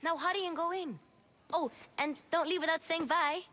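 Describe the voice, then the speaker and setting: A woman's voice: extremely high pitch, normal speed, sharp tone. A woman playing a cheerful, bossy young girl in a video game, confident and matter-of-fact. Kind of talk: video game dialogue acting.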